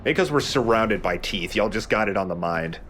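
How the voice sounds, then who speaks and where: A man's voice: on the low side, lively intonation, a little fast, a bit bright. A man giving casual, explanatory gameplay commentary with some swearing, as the player hosting a let's play. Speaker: let's play host playing the game. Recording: faint background noise.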